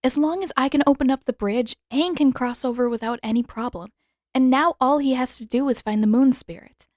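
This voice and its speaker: Woman as teenage girl heroine, storybook reading, higher pitch, halting, wounded, earnest, dry recording. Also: a little mellow, no room sound, natural voice. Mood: forced optimism. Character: teenage girl hero, earnest, hurt, holding back tears. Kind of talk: dramatic audiobook character reading.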